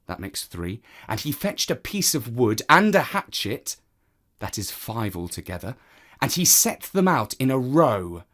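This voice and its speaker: A storyteller reading a children's tale in an expressive, theatrical way, speaking straight to the listener. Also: mid-range voice.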